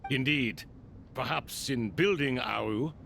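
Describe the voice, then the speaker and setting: A man playing an ancient, courtly sage in a video game, speaking in formal, deliberate, dryly playful phrases. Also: mid-range voice, creaky, a bit bright, a little hiss or hum. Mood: reflective, solemn.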